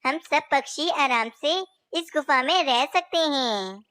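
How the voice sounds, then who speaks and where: A woman's voice: sits high, expressive, takes it slowly, pushed and bright, dry recording, full character voice. A woman voicing a female bird who leads a flock in a cartoon story. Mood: calm. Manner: anxious, questioning.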